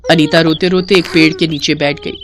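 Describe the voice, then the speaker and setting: A woman's voice: low-pitched, steady tone, brisk, noisy background. A woman storyteller reading scripted narration of a moral tale.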